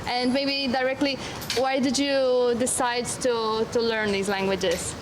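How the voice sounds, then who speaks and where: A woman's voice: fairly high pitch, level tone, a little slow. A woman leading a walking tour outdoors, speaking with many hesitations and fillers. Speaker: tour guide. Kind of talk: guided walking tour talk. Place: outdoors on a city street. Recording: street noise behind.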